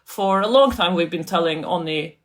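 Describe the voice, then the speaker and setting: A woman's voice: fairly low pitch, moderate pace. A woman from Turkey, an author and scholar, talking casually as an interview guest, thoughtful, laughing often and restarting her sentences.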